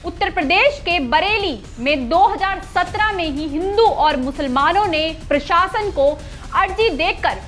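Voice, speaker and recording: Very high, bright woman newsreader. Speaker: news anchor. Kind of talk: scripted news narration.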